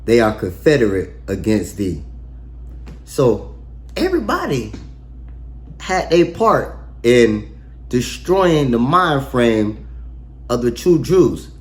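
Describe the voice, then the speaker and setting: Slow, animated man breaking down scripture. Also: medium pitch. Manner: reads scripture, then explains it in slangy, emphatic street talk; asks rhetorical questions and pauses for effect. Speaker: online bible teacher. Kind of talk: casual verse-by-verse bible breakdown.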